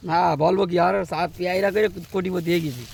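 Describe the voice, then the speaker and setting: A man's voice: high voice, steady tone, quick pace, gravelly, background noise. A man chatting casually and unscripted outdoors, as a farmer being interviewed. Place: outdoors in a guava orchard. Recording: outdoor recording, voices in background.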